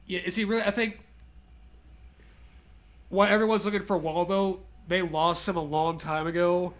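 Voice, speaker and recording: Guy w a pretty high voice reading a dumb scary story for laughs, jokey and loose. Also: steady tone, moderate pace, a bit bright. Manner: casual, joking, prone to laughter. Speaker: co-host reading a story aloud. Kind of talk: comedic horror story read-aloud with joking banter.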